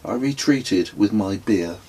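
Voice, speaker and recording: A middle-aged man reading his own reflective travel memoir as an audiobook, in a measured, literary and wry manner. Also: fairly low pitch, lively intonation, warm tone, breathy edge, rough recording.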